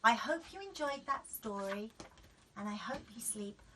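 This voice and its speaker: Animated woman storyteller, bright and unhurried. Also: fairly high pitch, far from the mic, a little hiss or hum, low-quality recording. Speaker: storyteller reading picture books to children. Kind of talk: expressive children's story reading.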